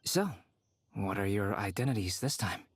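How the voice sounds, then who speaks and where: A man voicing a calm, gentle young intellectual in a video game, composed and polite. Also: fairly low pitch, normal speed, slight background noise.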